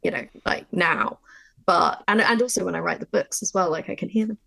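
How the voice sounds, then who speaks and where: Woman, low register with vocal fry, informal and emphatic workshop speaker who illustrates her points. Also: a little fast, a crowd in the background, low-quality recording. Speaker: audio drama writer leading a workshop. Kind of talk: conversational workshop discussion.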